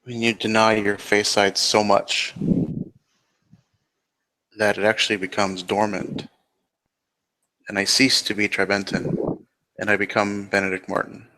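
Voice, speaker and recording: A man playing a grave, measured fae changeling in improvised roleplay dialogue, speaking seriously and deliberately. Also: lowish voice, flat delivery, sharp tone, low-quality recording. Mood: grave, explaining.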